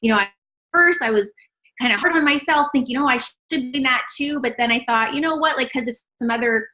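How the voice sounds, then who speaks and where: A woman speaks as a guest over an online call, with a clear, bright tone that sits a little high. She chats in a relaxed way and laughs easily between points. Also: brisk, rough recording. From Canada. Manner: cheerful, laughs often. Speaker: registered dietitian guest. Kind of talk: casual interview over a call.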